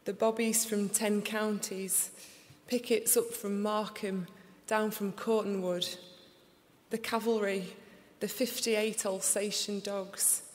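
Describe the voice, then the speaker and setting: A young woman with a Sheffield accent reading her poems aloud in a slow, deliberate recital before an audience. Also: mid-range voice, flat delivery. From England. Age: young adult. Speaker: poet. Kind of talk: poetry reading. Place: large concert hall. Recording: live reading in a reverberant hall.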